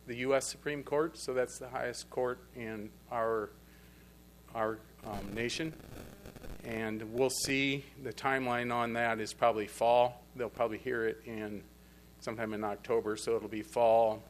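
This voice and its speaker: A man speaking at a conference panel, presenting and urging his listeners in a plain-spoken, earnest way. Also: medium pitch. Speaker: conference presenter on the pork industry. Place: conference hall.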